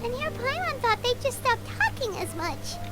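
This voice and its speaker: A woman voicing a tiny, excitable, childlike fairy companion in a video game, squeaky and exclamatory. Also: normal speed. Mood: alarmed. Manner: speaks of herself in third person, exclamatory. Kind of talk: cartoonish video game voice acting. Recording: music under the voice.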